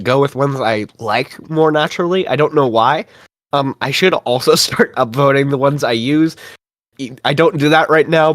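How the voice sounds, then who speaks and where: Man guest, mid pitch, easygoing, dry close recording. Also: no echo. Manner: easygoing, short answers. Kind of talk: casual panel chat.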